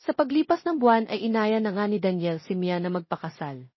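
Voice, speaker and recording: A woman's voice: medium pitch, very animated, fairly quick, no room sound. Dry, slightly muffled Filipino woman narrator. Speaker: audiobook narrator. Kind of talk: scripted narration of a romance story.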